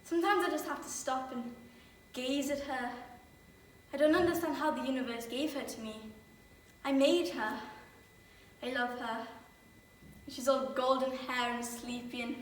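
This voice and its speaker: A woman's voice: high-pitched, fairly even intonation, a little slow, slight background noise. Librarian mum, orderly and confiding, woman in role; distant, roomy echo. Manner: confiding, wry storytelling monologue. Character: orderly librarian woman, young mother, chatty and fond. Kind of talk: stage monologue. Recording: echoey room from far off.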